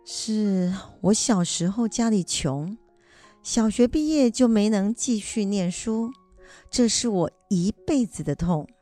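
A woman's voice: mid-range voice, animated, slow, mellow, faint music behind. A woman playing a stubborn, cheerful grandmother in a family radio drama, insistent and colloquial. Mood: wistful, resolved. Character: stubborn, cheerful grandmother determined to go back to school.

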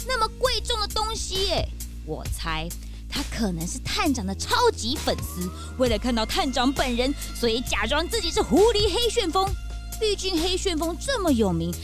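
A woman playing an excitable, outspoken young detective's sidekick in a children's audio drama, cute, dramatic and exclamatory. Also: high voice. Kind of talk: children's radio drama acting.

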